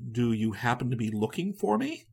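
A man acts out a self-possessed, deliberate gentleman. He weighs each word slowly and calmly, with dry politeness, in a slightly husky voice that still moves in pitch. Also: heavily acted. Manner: slow, calm, dryly polite.

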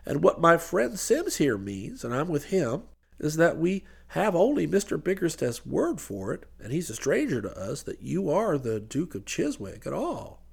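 A man's voice: a little high, huge pitch swings, normal speed, vocal fry, breathy edge, voice pushed down, exaggerated voice. A man voicing a hearty American civic-booster businessman in an audiobook, plain-spoken, emphatic and businesslike.

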